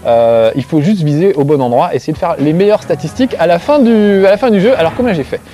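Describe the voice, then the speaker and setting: Corsican-accented male game-show host, self-mocking, high warm voice. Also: expressive, quick pace, soft background music. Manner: joking, self-mocking, chatty. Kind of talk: casual gameplay commentary to camera. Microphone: close framing, tight on face.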